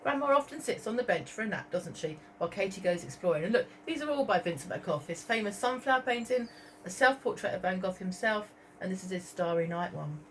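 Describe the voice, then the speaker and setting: A woman reading a story aloud to young children as a teacher, expressively, pausing to point out pictures and ask questions. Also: medium pitch, expressive, slight background noise. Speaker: primary school teacher.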